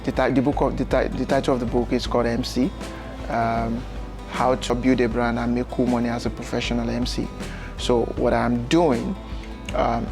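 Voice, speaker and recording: A young Nigerian man whose first language is Yoruba, a guest in a casual interview, relaxed and often adding "you know" and "um", seated in a bright lounge. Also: mid-range voice. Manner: relaxed, gestures with hands, fills with "you know" and "um". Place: bright lounge with sofas, indoors.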